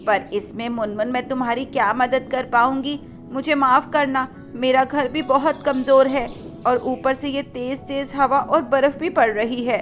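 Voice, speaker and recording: A woman's voice: high voice, steady tone, a little slow, fairly bright tone, muffled recording, soft background music. A woman voicing a reluctant, grumpy aunt bird in a cartoon, with an excusing manner. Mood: evasive.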